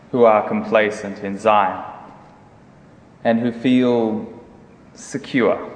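Slow, solemn man in an echoing hall. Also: medium pitch. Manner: solemn, declamatory, deliberate phrasing. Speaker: Bible reader in a dramatic reading. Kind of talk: dramatic scripture reading. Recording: echoey hall with long reverb.

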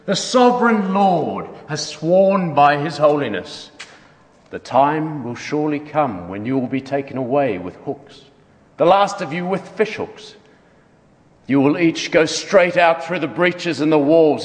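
A man's voice: a little high, very animated, a little slow. A man giving an emphatic, declamatory dramatic reading of scripture as a church member. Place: large reverberant room. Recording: distant, echoey hall with long reverb.

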